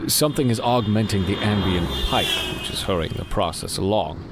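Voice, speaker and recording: An actor playing a scholarly male scientist, speaking in a precise, deadpan, pedantic way in an audio drama. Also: on the low side, brisk, close to an everyday voice. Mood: dry, analytical. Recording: noisy street traffic ambience.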